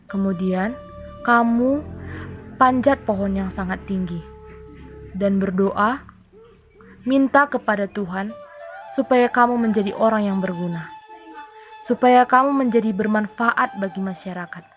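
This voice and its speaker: A woman's voice: medium pitch, measured pace, pauses often, lightly acted. A woman voicing a kindly old male hermit with mystic powers, solemn and instructing. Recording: dull lo-fi audio under music.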